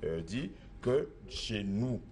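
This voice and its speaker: Insistent man with a low voice. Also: lively intonation, takes it slowly, darkish tone, slight background noise. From Republic of the Congo. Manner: assertive, insistent, repeats himself. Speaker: traditional spiritual master. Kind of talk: interview answers.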